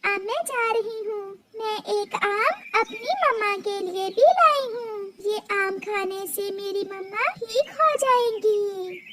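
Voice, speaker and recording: A performer acts out a dutiful little girl bird from a cartoon fable. Her voice is extremely high and childlike, with meek, polite pleading in slow phrases. Faint noise sits behind it. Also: sharp tone, exaggerated voice. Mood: polite, earnest.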